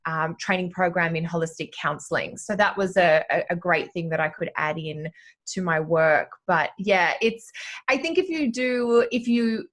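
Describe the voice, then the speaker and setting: A woman in her forties with a slightly softened Australian accent, chatting casually as an interview guest. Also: lowish voice, normal speed. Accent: Australian, slightly softened by years in Canada. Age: 40s. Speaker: guest, astrologer and teacher.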